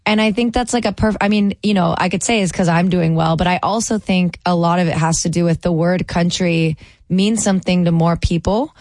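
A woman's voice: lowish voice, fairly even intonation, brisk, darkish tone, no echo. A woman from El Salvador who grew up in Georgia, a country singer talking enthusiastically as a radio guest. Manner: enthusiastic, fillers. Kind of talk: radio panel discussion.

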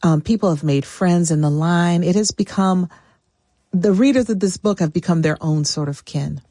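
Mellow-voiced woman novelist chatting. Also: lowish voice, moderate pace, no room sound, clean studio sound. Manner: relaxed, conversational. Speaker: novelist guest. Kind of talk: casual conversation. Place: radio studio.

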